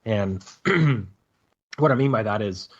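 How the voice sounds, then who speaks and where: A man's voice: lowish voice, expressive, slow, slightly rough. A man talking casually and giving his opinions as a panel guest, on lo-fi audio.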